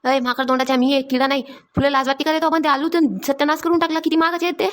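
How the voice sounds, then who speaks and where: A woman's voice: high voice, flat delivery, a little hiss or hum, lightly acted. A woman voicing a busy, scolding village mother in a cartoon, nagging. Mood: angry, scolding. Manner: nagging, rapid repeated orders. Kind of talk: Marathi comedy cartoon dialogue.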